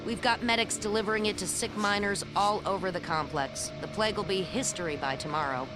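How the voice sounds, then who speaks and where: Casting: woman as confident rebel leader, wry, mid-range pitch, music clearly underneath. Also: brisk, natural voice, low-quality recording. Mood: wry, assured. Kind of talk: video game cutscene acting.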